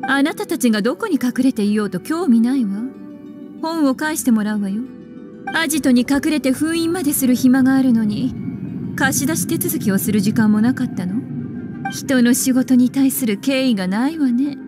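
A woman's voice: high-pitched, expressive, moderate pace. A woman voicing a composed, teasing witch librarian in an anime game, poised and coolly condescending with a stern edge. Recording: game music behind.

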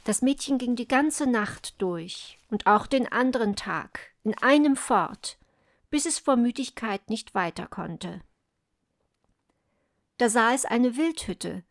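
A woman narrates a fairy tale from a script. She takes it at a slow, measured pace with a slightly high pitch. The recording is dry, with hardly any room sound. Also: no echo. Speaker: storyteller.

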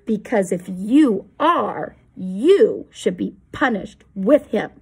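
A woman's voice: mid-range voice, lively intonation, unhurried, breathy edge, voice pushed down, exaggerated voice. A woman doing a sneering, angry crowd. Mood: threatening. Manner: scolding, threatening.

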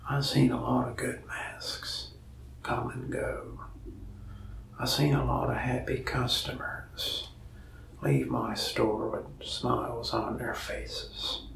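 Slow, gravelly soft-spoken salesman man. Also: medium pitch, little pitch movement, reverberant, far from the mic. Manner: folksy, rambling salesman talk, soft and half-whispered. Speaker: ASMR creator playing a mask shop salesman. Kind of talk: soft-spoken ASMR roleplay. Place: indoors, small room in front of a wall of masks.

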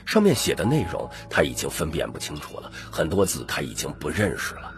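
A man's voice: rumbling low, huge pitch swings, fairly quick. A man narrating an audiobook story in a dramatic, scripted storytelling style. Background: background music.

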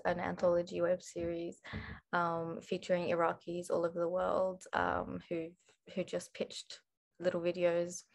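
A woman, introducing herself on an online panel in an unhurried way, with many pauses, over a video call from a room at home. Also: on the low side, creaky. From Australia. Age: 20s. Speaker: panel speaker, writer and actress.

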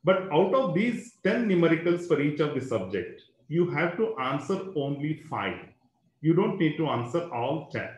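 A man's voice: a little high, very animated, normal speed, reverberant, rough recording. A man, a school educator explaining exams in an online webinar, talking into a computer microphone with many fillers and a habitual "right?".

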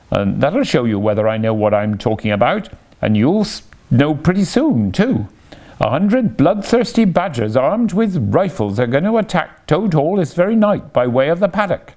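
A man reading a storybook aloud and putting on a shrill, boastful old washerwoman who scares off the guards. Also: creaky. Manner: high, excited sing-song.

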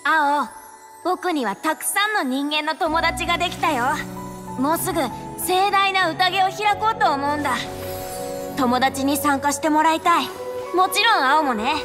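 Very high childlike game character played by a woman. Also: moderate pace, over music, natural voice. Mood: earnest, hopeful. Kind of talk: video game character acting.

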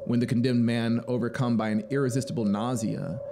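A man's voice: medium pitch, level tone, a little fast, noisy background. A man in his thirties reading literary fiction aloud as a dramatic narrator, close to a studio microphone. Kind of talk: dramatic audiobook-style story narration. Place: small home music studio indoors. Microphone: close studio mic on boom arm.

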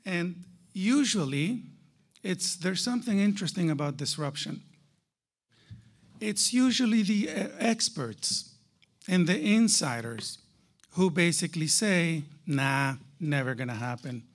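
A man speaks as a keynote presenter at a very measured, unhurried pace. His voice sits a little higher than most men's. He addresses his audience directly and emphatically, leaning on rhetorical questions and repeating the phrases that matter. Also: very animated. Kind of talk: conference presentation to a live audience.

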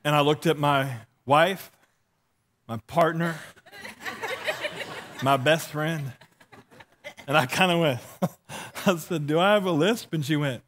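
Lisping pastor telling funny stories. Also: male voice, a little high, slow pace, pauses often, a bit bright. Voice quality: lisp. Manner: storytelling with acted-out dialogue, self-deprecating; walks and gestures while preaching. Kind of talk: humorous sermon. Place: large church stage.

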